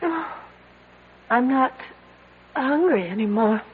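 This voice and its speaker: A woman playing a desperate, weakened woman trapped in a cave, halting and bitter, in an old-time radio drama. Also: high voice, expressive. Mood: weak despair. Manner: halting, despairing, needling. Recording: tinny, band-limited old radio with faint hiss.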